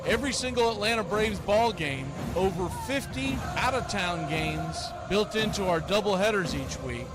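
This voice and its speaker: A man who hosts play-by-play sports, delivering an energetic radio promo. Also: sits high, background noise. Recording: music bed behind voice. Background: music.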